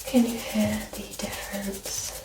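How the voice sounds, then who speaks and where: Soft half-whispering woman, roomy and noisy. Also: mid-range voice, little pitch movement, measured pace. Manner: gentle, half-whispered, instructing. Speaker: ASMR performer playing a doctor doing a medical exam. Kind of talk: soft-spoken ASMR medical roleplay. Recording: roomy echo, distant mic, clear background noise.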